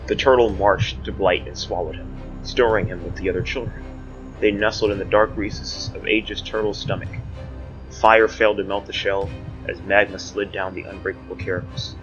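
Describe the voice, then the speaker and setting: A man's voice: sits low, a bit bright, muffled recording. A man narrating a scripted fantasy audio drama in a portentous, theatrical manner.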